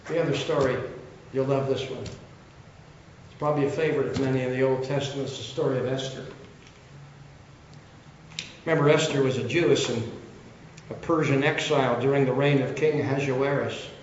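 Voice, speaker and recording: An older man preaching a sermon, retelling Bible stories, his throat rough and coughing as he talks around a cough drop. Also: medium pitch, steady tone, normal speed, long pauses. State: losing his voice, throat trouble. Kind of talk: sermon storytelling. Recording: very echoey, dull sound, faint hiss.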